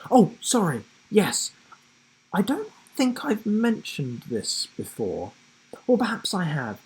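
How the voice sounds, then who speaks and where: A man's voice: high-pitched, wildly swooping pitch, slow, darkish tone, breathy edge, pitched above the performer's own voice, full character voice, rough recording. A man voicing an eager, forgetful giraffe who fancies himself a spy in a children's storybook. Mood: earnest, muddled. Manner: earnest, rambling, forgetful.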